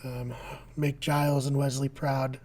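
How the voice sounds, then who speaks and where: A man's voice: medium pitch, level tone, unhurried, a little mellow. Guy cohost with a worn out nearly lost voice, laid back, short replies. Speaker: podcast co-host. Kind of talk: casual chat.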